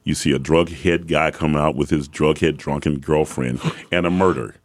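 A middle-aged man with a very deep bass voice, an opera singer talking conversationally as an interview guest, telling stories with emphatic repetition. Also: fairly even intonation, moderate pace. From Atlanta, Georgia, southern US.

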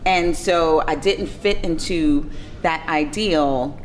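A woman in her fifties with a slightly low voice speaks in a candid, conversational way. She sounds reflective and puts firm emphasis on her points. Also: slow pace, slight background noise. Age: 50s.

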